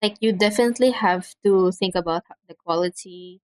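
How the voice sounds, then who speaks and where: A young woman from the Philippines, soft-spoken and hesitant, chatting casually on a home livestream through a cheap mic. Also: lowish voice, brisk, dry recording. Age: young adult. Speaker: streamer. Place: home room. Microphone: cheap desk mic.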